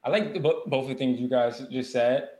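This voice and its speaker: A man's voice: mid-range voice, fairly quick, a little mellow, echoey room. A man hosting a casual chat, loose and playful. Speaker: host.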